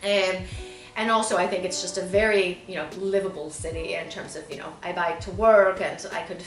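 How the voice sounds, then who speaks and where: Woman, thirties, lower register, conversational interview guest explaining herself, recorded roomy and off-mic. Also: steady tone, moderate pace. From born in Bulgaria, grew up in Southern California. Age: 30s. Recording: roomy echo, distant mic, faint music.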